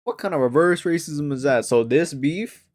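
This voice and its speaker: A man streaming a casual reaction to a crime show, slangy and animated, his voice jumping up when he is outraged. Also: moderate pace, noisy background. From United States. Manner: slangy and animated, breaks into outraged high rises.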